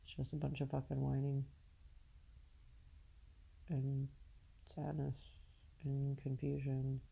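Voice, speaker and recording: Woman, podcast host, personal monologue, narrow flat-leaning intonation, slow halting pace, husky, weary, downcast, candid. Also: very deep voice. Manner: halting, searching, with long pauses; candid, casually profane. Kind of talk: unscripted personal monologue, confessional.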